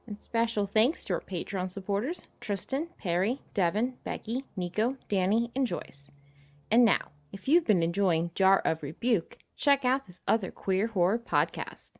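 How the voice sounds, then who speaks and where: A woman's voice: mid-range voice, lively intonation, normal speed. A woman reading scripted end credits for a fiction podcast. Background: faint music.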